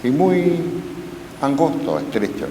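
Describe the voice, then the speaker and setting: A Chilean man giving a parish lecture, speaking slowly and reading quotations with measured emphasis. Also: a little high, sing-song, warm tone. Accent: Chilean Spanish. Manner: slow, measured, reads quotes with emphasis. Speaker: lay Carmelite speaker. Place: parish church. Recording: echoey church hall, faint noise.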